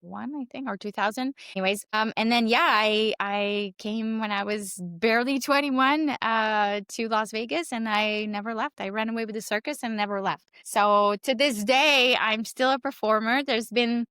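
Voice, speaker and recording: A woman's voice: fairly high pitch, normal speed, few pauses, bright, forceful tone, clean tone, dry recording, clean studio sound. A woman in her forties from Canada with a French Canadian accent, a native French speaker chatting as a guest in an interview, rambling with many fillers. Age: 40s. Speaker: guest, circus performer and realtor. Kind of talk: casual interview chat.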